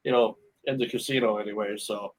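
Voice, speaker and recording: A man's voice: lowish voice, fairly even intonation, a little fast, low-quality recording. A man in his forties hosting a casual radio chat show, joking and laughing often. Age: 40s. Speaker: host.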